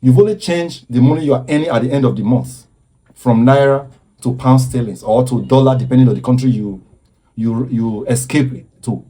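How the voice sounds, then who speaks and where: A man speaks with earnest, preaching conviction and often stops to repeat words. His voice is dark and mellow with a husky edge. He lectures like a commentator making a case. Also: medium pitch, moderate pace. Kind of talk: impassioned social commentary.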